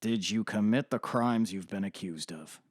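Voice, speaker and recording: A man's voice: lowish voice, normal speed, no room sound, studio quality, close to an everyday voice. A man playing a formal, polite, slightly hesitant middle-aged defense lawyer in an audio drama. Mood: formal, earnest.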